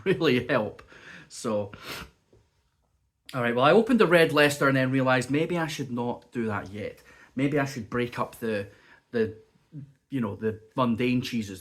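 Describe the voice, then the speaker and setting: A man chatting casually as he taste-tests cheese and crackers at home, still sniffly and coughing from a bug. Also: medium pitch. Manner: chatty, muses aloud while tasting. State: lingering cough, a bit sniffly. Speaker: food reviewer. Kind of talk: casual food review vlog. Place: indoors at home.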